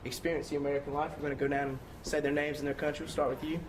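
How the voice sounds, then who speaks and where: Fast-talking young male interviewer. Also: on the high side, level tone, background noise. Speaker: student interviewer. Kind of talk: casual student interview.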